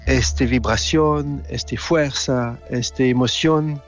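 A middle-aged Swiss man with a French accent, answering interview questions haltingly and repeating words as he searches for them. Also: medium pitch, fairly even intonation, lo-fi sound, faint music behind. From Switzerland. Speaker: film director, interview guest.

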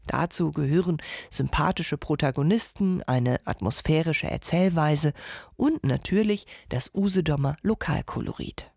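A woman reporter reading a scripted radio feature in a lively, measured way. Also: deep voice, vocal fry.

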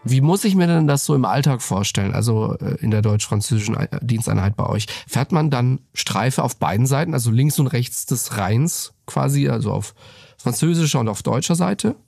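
Male host, gravelly voice, dry studio, long framing questions. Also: mid-range voice, lively intonation, normal speed, darkish tone, no echo. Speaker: interview host.

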